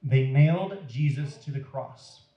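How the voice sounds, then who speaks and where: A man reading a story aloud to children in a deliberate, dramatic, expressive way. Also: medium pitch, lo-fi sound. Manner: deliberate, dramatic, addressing young listeners. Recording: roomy echo.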